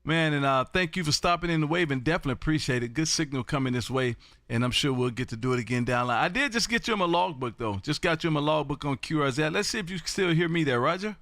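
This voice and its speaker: Bright, high-pitched American ham radio man. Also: quick pace, no echo. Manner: animated, folksy, booming delivery. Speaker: amateur radio host. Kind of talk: live ham radio contact chat.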